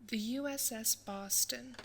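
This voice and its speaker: A woman's voice: on the high side, unhurried, creaky, a loud crowd. A woman playing a reflective first-person narrator in a science-fiction audio drama. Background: music score.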